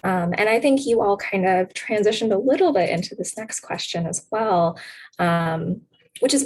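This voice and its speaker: A woman's voice: fairly low pitch, a little mellow, poor audio. A woman moderating a webinar panel, summing up points in an affirming, articulate way and posing questions. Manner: affirming, articulate, checks in with "right".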